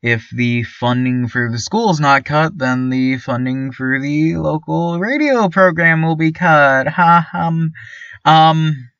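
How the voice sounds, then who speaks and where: A man playing a chipper, nervously obedient small-town radio host, stammering politely through a deadpan surreal monologue. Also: fairly high pitch, slow pace, clear, resonant tone, no room sound, far from the mic, lo-fi sound. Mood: nervous, placating. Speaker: local radio station host.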